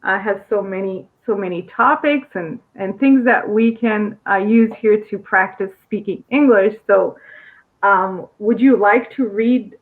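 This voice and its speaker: A woman's voice: mid-range voice, measured pace, narrow, tinny audio. An American woman, an ESL teacher, leading a live conversation lesson and coaching a student's pronunciation. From United States. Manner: encouraging, corrects pronunciation.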